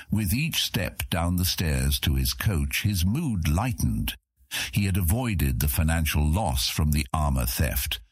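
A man's voice: rumbling low, breathy edge, no echo. A man narrating a scripted fantasy audiobook, recorded close to the microphone.

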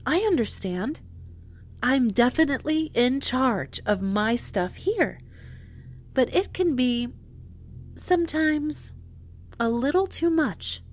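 A woman's voice: a little high, a little mellow. An actress in an audio drama playing a chatty, emphatic woman who runs a moon base's inventory and gets easily frustrated. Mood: frank, gentle.

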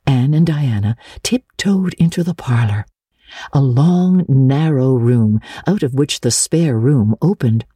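Lady reading a classic story, mellow and animated, husky, dramatic audiobook style. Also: rumbling low, no room sound, clean studio sound. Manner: expressive, measured storytelling. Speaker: narrator.

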